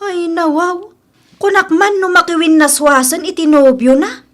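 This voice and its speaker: A woman's voice: extremely high pitch, fairly even intonation, measured pace. A woman playing an earnest, protective young friend who disapproves and frets, in an audio comedy-drama, with an Ilocano accent. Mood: concerned.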